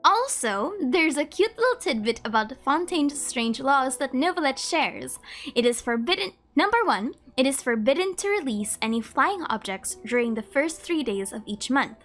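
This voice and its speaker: A woman's voice: high-pitched, lively intonation, moderate pace, fairly bright tone, soft background music. A woman hosting a video, narrating scripted trivia with playful, chatty asides. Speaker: host of a game trivia video.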